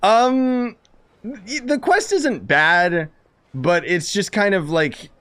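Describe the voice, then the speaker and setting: A man's voice: high-pitched, expressive, slow pace, a bit bright, clean tone. A man giving casual live game commentary as a streamer, wry, sarcastic and rambling. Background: game music.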